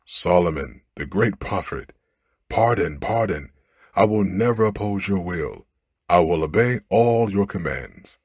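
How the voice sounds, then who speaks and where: A man's voice: deep voice, lively intonation, unhurried, husky, dry recording, clean studio sound, heavily acted. A man voicing a gigantic, fierce and proud storybook genie with imperious, archaic speech. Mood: frantic pleading. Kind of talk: storybook character read aloud.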